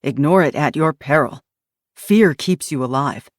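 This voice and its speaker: Woman reading grim guarded man, low and slow, dry close sound, serious urgent warnings. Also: no room sound. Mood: grave. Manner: grave, measured, urgent warnings.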